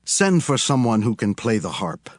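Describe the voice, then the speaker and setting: A man's voice: medium pitch, very animated, moderate pace, faint music behind, close to an everyday voice. A man playing a stern, scornful prophet who declaims solemnly in an audio Bible drama.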